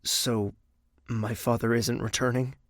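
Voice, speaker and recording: An actor playing a weary, guarded young prince in an audio drama. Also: male voice, medium pitch, little pitch movement, unhurried, dry recording, clean studio sound, natural voice. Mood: anxious. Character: wary, weary young prince recovering from confinement.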